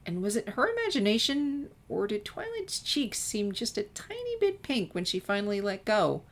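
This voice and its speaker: A woman's voice: a little high, huge pitch swings, moderate pace. A woman narrating fan fiction as a scripted audiobook-style story reading. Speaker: story reader.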